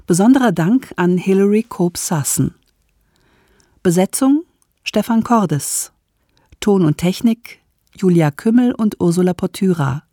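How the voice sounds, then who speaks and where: Slow, mellow woman announcer. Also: mid-range voice, lively intonation, no room sound, studio quality. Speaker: radio announcer reading credits. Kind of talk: scripted closing credits announcement.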